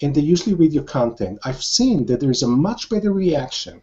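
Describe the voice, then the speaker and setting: A man's voice: a little high, big pitch swings, brisk, dark tone, highs cut off. An older man from Israel, a guest in a conversational interview, talking enthusiastically and repeating words as he restarts sentences.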